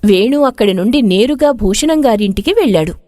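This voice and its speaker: A woman reading an expressive scripted moral story as its narrator. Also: fairly high pitch, a little fast, no echo, clean studio sound.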